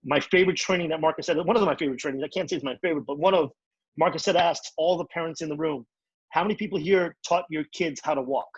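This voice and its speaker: A man speaking at a rapid clip in a slightly husky, fairly high voice, giving a motivational training talk. He talks with energy and drives points home by repeating them. The sound is dry and a little dull, like a lo-fi call recording. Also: no echo. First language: English. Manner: energetic, repetitive, preachy. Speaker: network marketing trainer.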